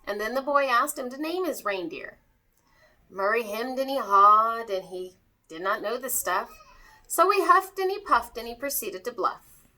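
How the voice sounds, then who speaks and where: A woman reads a children's story aloud in rhyme. Her voice is fairly high and bright, and her pitch moves up and down a great deal as she tells it expressively. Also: animated, a little slow, rough recording.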